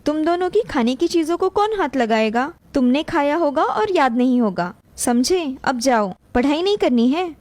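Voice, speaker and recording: A woman's voice: high voice, lively intonation, normal speed, few pauses, darkish tone, dry recording. A woman voicing a soothing young mother and dutiful daughter-in-law in a cartoon story. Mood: reassuring.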